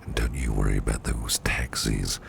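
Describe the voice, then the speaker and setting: A man playing a caring, courteous businessman boss in an ASMR roleplay, speaking in a soft, soothing, half-whispered murmur. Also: a bass voice, steady tone, moderate pace, creaky, slight background noise, exaggerated voice. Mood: tender, soothing. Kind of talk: ASMR personal attention roleplay.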